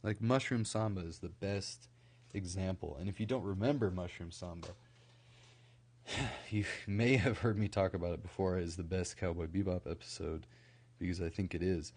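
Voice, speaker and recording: A young man from Idaho, rambling casually on a late-night stream, full of "like" fillers, his voice a little scratchy from a recent cold and kept quieter than usual. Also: sits low, moderate pace, slightly rough. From Idaho, USA. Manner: rambling, many "like" fillers and restarts. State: slightly scratchy from recent illness.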